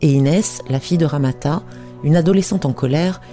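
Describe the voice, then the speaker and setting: A man hosting an interview, reading a measured introduction and then asking questions. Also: fairly high pitch, mellow, soft background music. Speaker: interviewer host.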